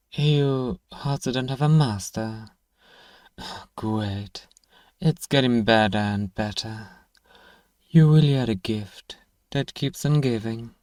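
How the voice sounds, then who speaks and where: A man's voice: mid-range voice, warm tone, no echo, natural voice. A man playing a haughty, sarcastic, exasperated apothecary shopkeeper in a fantasy ASMR roleplay. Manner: exasperated, condescending, sighing, dry sarcasm. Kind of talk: scripted ASMR roleplay monologue.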